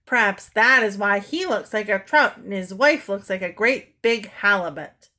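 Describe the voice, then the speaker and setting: Woman doing a weary cross mother. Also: normal speed. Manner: low, calm, then cross.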